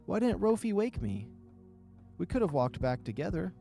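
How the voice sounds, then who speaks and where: A man's voice: sits high, sing-song, moderate pace, vocal fry, warm tone, faint music behind, pitched above the performer's own voice, exaggerated voice. A man voicing a polite, earnest young male animal character in a visual novel. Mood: friendly, reassuring.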